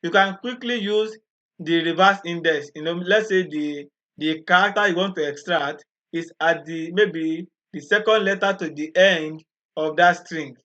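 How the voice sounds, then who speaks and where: A man with a slightly high, bright voice talks slowly and patiently through a coding tutorial. He explains each step and often repeats himself. The recording sounds dull and lo-fi. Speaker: programming tutor. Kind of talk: screen-recorded coding walkthrough.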